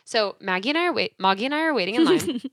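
A young woman co-hosting a podcast, telling animated stories in casual chat and acting out the people she quotes. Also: on the high side, quick pace, no room sound, studio quality. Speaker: podcast co-host.

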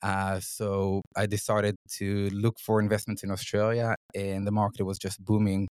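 A middle-aged man answers interview questions in a low, flat, matter-of-fact voice. He pauses often on "uh" and "um". The recording is dry and close. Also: smooth, no room sound. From Israel. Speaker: venture fund CEO, guest.

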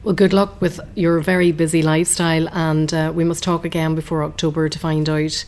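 An Irish woman presenting a radio food programme in a friendly, measured way. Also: deep voice, level tone, a little mellow. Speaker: radio host.

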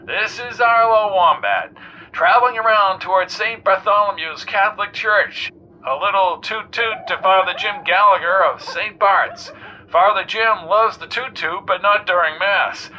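A brassy, excitable radio host with a high, bouncy voice, put on by a man reading a story. The tone is bright and cutting. A faint hiss sits under it. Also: a little slow, heavily acted, rough recording. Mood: bubbly.

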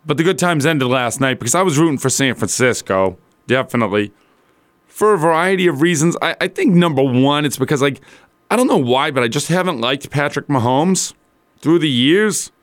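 A man with a slightly rough, strained voice hosting a sports talk radio show in a casual, rambling, conversational way. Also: fairly high pitch, animated, normal speed, no room sound. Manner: colloquial, rambling, conversational asides.

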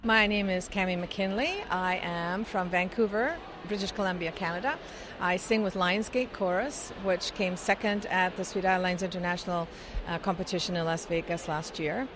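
A woman's voice: lowish voice, moderate pace, background noise. A middle-aged woman from Scotland, giving explanatory interview answers with frequent fillers. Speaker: interviewee, chorus singer.

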